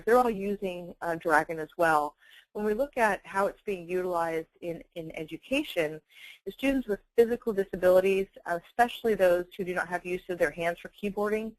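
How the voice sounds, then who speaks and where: A woman giving a semi-scripted software webinar presentation in an explanatory, conversational manner. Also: lowish voice, dry recording, low-quality recording.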